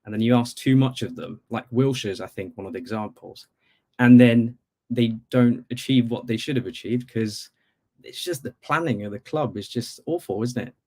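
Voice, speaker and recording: A man's voice: medium pitch, moderate pace, a little mellow. A man from Bangladesh calling in to a podcast as a football fan, talking casually with many conversational asides. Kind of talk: casual sports chat.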